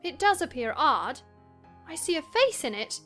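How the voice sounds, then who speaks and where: A woman acts out a feverish young gentlewoman in a dramatized classic novel. Her voice is very high and theatrical, drifting from dreamy murmuring to anxious, fearful outbursts, with music underneath. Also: sing-song, moderate pace. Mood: puzzled, uneasy.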